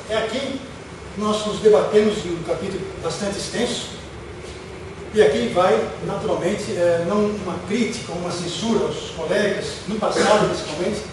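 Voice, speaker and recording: A middle-aged Brazilian man giving a public lecture in a deliberate, emphatic way, posing rhetorical questions and listing points. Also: high voice. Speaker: lecturer, former UFO researcher. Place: auditorium stage, indoors. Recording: echoey hall from far off, noisy.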